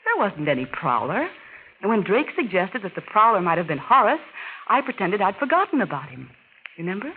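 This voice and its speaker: An actress playing a scheming, coaxing wife in a melodramatic 1940s radio style. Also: female voice, medium pitch, very animated, brisk, lightly acted. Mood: sly, smug. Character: scheming, coaxing adulterous wife, breathlessly devoted to her lover. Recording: tinny, hissy 1940s radio recording.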